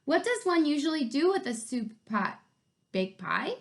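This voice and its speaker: A woman's voice: high-pitched, big pitch swings, measured pace, gravelly, full character voice. A woman voicing a short-tempered, scruffy varmint in a children's story, snarling and barking orders. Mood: sarcastic, unkind.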